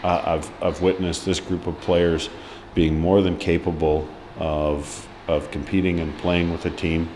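A man's voice: low voice, level tone, measured pace, few pauses, darkish tone, slight background noise. A man in his forties, a hockey head coach, giving a frank post-match interview full of ums and restarts. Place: indoor press room before a sponsor board.

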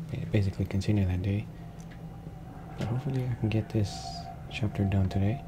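Guy doing a chill lets play, kinda froggy lowish voice, talking to himself, noisy home recording. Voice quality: slightly froggy. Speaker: gameplay streamer. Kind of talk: casual let's play commentary. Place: his room at home.

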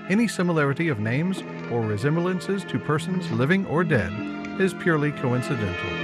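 A man reads closing credits in a formal, measured 1950s announcer style. His pitch sits a little high and moves a lot. Music plays loudly behind him. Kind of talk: 1950s radio announcer reading.

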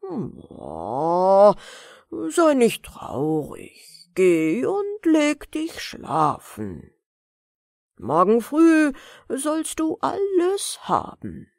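A woman voicing a gentle, loyal magical horse in a fairy-tale audiobook, with drawn-out, soothing speech. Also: a little high, huge pitch swings, dry recording, clean studio sound, heavily acted.

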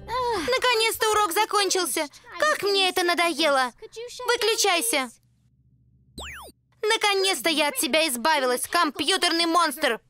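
Very high-voiced teenage angel girl. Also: measured pace, sharp tone, exaggerated voice. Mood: annoyed, defiant. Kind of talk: animated series dub acting. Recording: clear background music.

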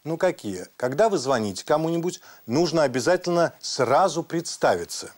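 Animated middle-aged man as kindly postman. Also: a little high, moderate pace. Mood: instructive. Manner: clear, didactic, animated. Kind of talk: children's television acting. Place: television studio set.

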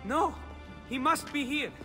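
Young Renaissance rogue, high-pitched and cocky, flippant delivery, video game drama. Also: male voice, a little slow, over music. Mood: alarmed. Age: young adult. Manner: cheeky, flippant, theatrical.

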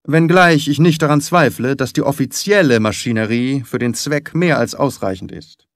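A man's voice: medium pitch, moderate pace, dry recording, studio quality, close to an everyday voice. A man voicing a stern, indignant doctor in an audiobook, brusque and haughty in manner. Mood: scornful. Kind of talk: audiobook character acting.

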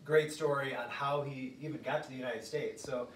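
A man's voice: medium pitch, steady tone, normal speed, roomy sound, far from the mic, rough recording. Middle-aged guy doing a casual interview, a bit away from the mic, faint noise in the room. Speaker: chiropractor hosting, introducing a colleague. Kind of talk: casual interview with short prompts. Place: small office room indoors. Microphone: phone camera a few feet away.

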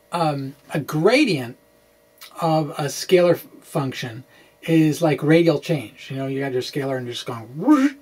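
A man in his forties presenting physics, part dramatic reading and part informal explanation, in an animated, quirky manner with hesitant asides. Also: on the high side, gravelly. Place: small indoor room with bookshelves.

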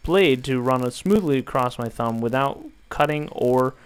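A young man calmly walking viewers through a build, step by step, in an instructional voice-over. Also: mid-range voice, measured pace, clear, resonant tone. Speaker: DIY tutorial maker.

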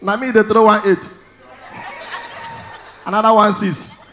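A man in his thirties with a Nigerian accent, performing stand-up on stage with a handheld mic, telling stories loudly and shouting his punchlines. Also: sits high, flat delivery, measured pace, a little hiss or hum. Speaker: stand-up comedian. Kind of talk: stand-up comedy in Pidgin. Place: large indoor event hall on stage.

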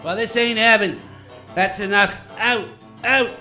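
An actor playing a gruff, hostile, brusque and streetwise barman in a radio play. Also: high voice, unhurried, sharp tone, faint music behind, full character voice. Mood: gruff, irritated. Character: gruff, hostile barman who bullies customers.

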